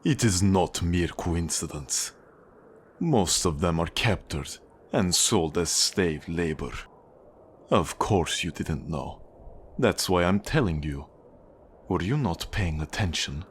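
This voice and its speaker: A man playing a cold, manipulative Russian mafia boss in an ASMR roleplay, with a Russian accent, a husky low voice and a measured, condescending, menacing delivery. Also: lively intonation, a little hiss or hum.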